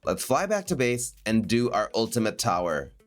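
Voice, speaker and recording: A man narrating his gameplay in a chatty, self-mocking voice-over, a bit raspy from a cold. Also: lowish voice, sing-song, moderate pace. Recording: faint background music.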